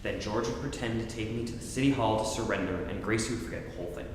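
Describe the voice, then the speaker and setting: A man's voice: fairly low pitch, fast talker, natural voice. A man playing a hard-boiled private detective in a 1940s-style radio comedy, with tough, melodramatic gangster talk. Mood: matter-of-fact. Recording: echoey hall with faint noise.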